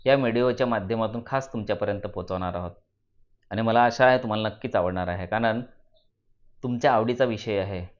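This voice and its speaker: A man narrating a moral story for students in deliberate phrases with dramatic emphasis. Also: on the low side, muffled recording.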